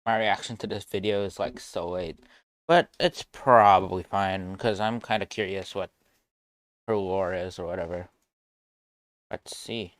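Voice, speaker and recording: A man's voice: low-pitched, a little slow, pauses often, no echo. A man doing casual live reaction commentary as a game streamer, rambling and thinking aloud.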